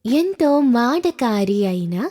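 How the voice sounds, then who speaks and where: A woman narrating a folk tale for a children's cartoon in a slow, singsong storytelling manner. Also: on the high side, sing-song, warm tone.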